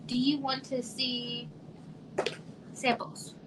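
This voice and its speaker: A soft-spoken woman from Arizona, with a somewhat high voice, chats casually with viewers from home. She trails off and now and then sinks to a near whisper. Steady hiss and room noise sit under the livestream audio. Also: takes it slowly. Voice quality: quiet voice. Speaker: livestream host. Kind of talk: casual live chat with viewers.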